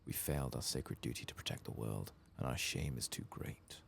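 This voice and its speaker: Casting: man as ashamed exiled elf, grave and formal, very low creaky soft delivery, fantasy game dialogue. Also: normal speed, a little hiss or hum, heavily acted. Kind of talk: game quest dialogue read aloud softly.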